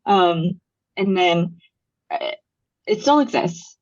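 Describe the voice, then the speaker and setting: A young woman from Los Angeles talks as a podcast guest in a loose, chatty way. Her voice sits on the low side and is filled with ums and likes. The recording sounds dull and muffled. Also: animated, takes it slowly, a little mellow. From Los Angeles, USA. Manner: storytelling, frequent ums and likes, laughs easily. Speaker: podcast guest, brand founder. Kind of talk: casual interview chat.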